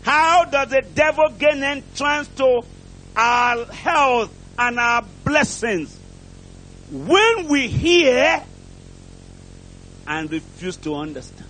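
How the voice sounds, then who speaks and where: High-pitched, emphatic male preacher. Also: lively intonation, slow pace, long pauses, sharp tone, slight background noise, low-quality recording. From outside England, preaches there as a visitor. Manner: emphatic, declamatory, repetitive short phrases with rhetorical questions. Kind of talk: impassioned prosperity sermon. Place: church pulpit, preaching to a congregation.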